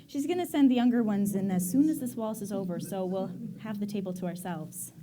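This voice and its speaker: A woman's voice: mid-range voice, brisk, a crowd in the background, close to an everyday voice. A woman playing a cheerful, playful young wife. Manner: playful, lively.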